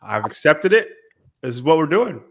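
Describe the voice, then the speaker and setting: A man's voice: a little high, sing-song, normal speed. A man hosting a casual podcast chat, slightly nasal and congested from a cold, teasing and coaching his friends. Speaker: podcast host.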